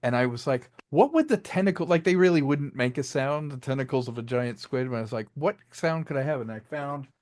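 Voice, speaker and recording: A man talks casually as an interview guest with a creative trade. His voice sits at a middle pitch, recorded dry with little room around it. He explains things like a storyteller, acting out the odd aside. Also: lively intonation, a little fast, hardly any pauses, a little mellow, no room sound. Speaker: interview guest, filmmaker and audio drama creator.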